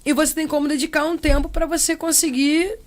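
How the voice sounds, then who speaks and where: Casting: young Brazilian woman, slightly high voice, casual reflective interview talk, slangy and expressive. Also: fairly quick. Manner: expressive, acts out imagined quotes, slangy. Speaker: interview guest, percussionist and samba singer.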